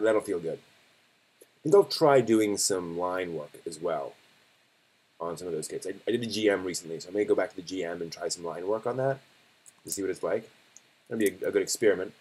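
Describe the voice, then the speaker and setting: Male streamer, fairly low voice, casual host delivery, conversational and a bit halting as he thinks aloud. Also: darkish tone, breathy edge, poor audio. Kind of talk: casual live stream commentary.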